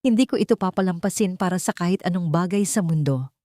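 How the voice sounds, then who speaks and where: A woman voicing a gentle, sincere male doctor in love, in an audio romance story. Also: lowish voice, fast, no room sound, clean studio sound, natural voice. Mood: admiring.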